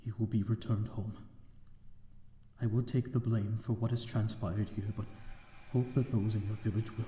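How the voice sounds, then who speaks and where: A man's voice: lowish voice, level tone, dark tone, close to an everyday voice. Man acting a weary captive vampire in echoing stone. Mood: solemn resignation. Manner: sardonic, measured, dramatic phrasing. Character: lonesome captive male vampire, weary, bitter and sardonic. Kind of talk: ASMR audio roleplay acting. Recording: echoey reverberant room with background noise.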